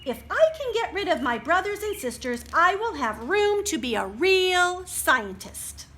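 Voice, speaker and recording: A serious young girl bunny scientist from a storybook, voiced by a woman reading aloud, pitched very high and bright. She is earnest, determined and emphatic, with lively swings in her voice. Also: unhurried, exaggerated voice.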